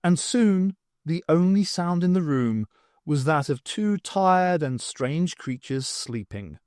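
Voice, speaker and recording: A man reading an audiobook story as scripted narration, in an expressive, dramatised manner. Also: fairly high pitch, slow, dry recording, studio quality. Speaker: audiobook reader. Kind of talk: dramatised reading with voices for characters.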